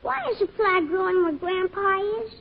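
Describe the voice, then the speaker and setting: A woman's voice: very high-pitched, steady tone, normal speed. A woman playing an innocent, inquisitive six-year-old boy in an old-time radio drama. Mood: curious. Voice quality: childlike. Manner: naive, questioning, stubborn when crossed. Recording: dull, noisy old radio recording.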